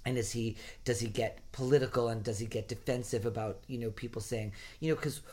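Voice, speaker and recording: A man's voice: medium pitch, steady tone, a little fast, darkish tone. Emphatic man in casual talk. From Rhode Island. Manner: emphatic, repeats phrases while building a point. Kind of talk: casual conversation.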